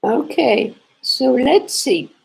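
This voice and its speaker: A woman with a sore, congested throat leads a live knitting lesson. Her voice is high-ish, gravelly and husky. She talks very slowly and repeats herself as she explains each stitch. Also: big pitch swings, rough recording. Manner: slow, repetitive explaining with restarts. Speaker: knitting teacher hosting a live stream.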